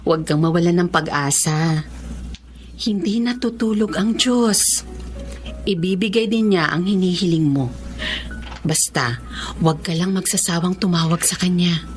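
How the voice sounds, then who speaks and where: A woman's voice: fairly low pitch, measured pace, pauses often, soft background music, natural voice. A woman playing a kind, encouraging teacher in a Filipino radio drama, earnest and full of advice. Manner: nurturing, earnest, advising. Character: kind, encouraging female special-education teacher.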